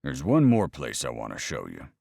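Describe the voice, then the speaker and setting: A man voicing his laid-back, rough-edged friend in a read-aloud story, casual and offhand. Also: extremely low pitch, wildly swooping pitch, normal speed, a little mellow, no room sound, studio quality, pitched below the performer's own voice, heavily acted.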